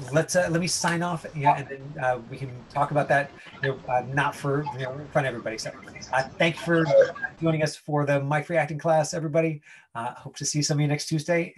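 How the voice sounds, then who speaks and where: A man teaching an online acting class informally, explaining in a halting, conversational way. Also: a little high, a little hiss or hum. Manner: halting, explanatory, frequent 'you know'. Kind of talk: informal lesson talk.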